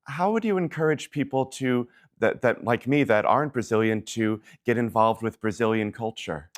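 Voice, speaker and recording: A woman's voice: a bass voice, moderate pace. A woman hosting a local TV interview, asking friendly questions and affirming her guest. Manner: affirming, encouraging. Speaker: TV show host. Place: local TV studio.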